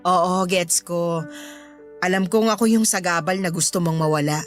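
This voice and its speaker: An actress with a Filipino accent playing a put-upon housemate in a radio drama, placating but answering back. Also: female voice, lowish voice, moderate pace, close to an everyday voice. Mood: hurt, defiant. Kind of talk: Tagalog radio drama acting. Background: background music.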